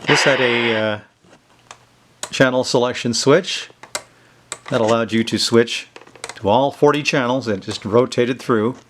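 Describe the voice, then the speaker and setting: Guy doing a casual show and tell video, folksy explainer, medium pitched voice. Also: unhurried, pauses often, background noise. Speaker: video host showing vintage electronics. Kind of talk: casual product demonstration. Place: indoors in a basement.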